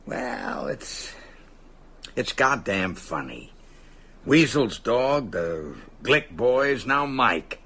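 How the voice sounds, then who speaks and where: Husky, folksy small-town American constable. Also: male voice, medium pitch, sing-song, very slow, sharp tone, full character voice. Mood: wary, suspicious. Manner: hesitant, folksy, colloquial. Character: folksy, wary small-town constable, male, drawling and probing. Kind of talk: radio drama acting.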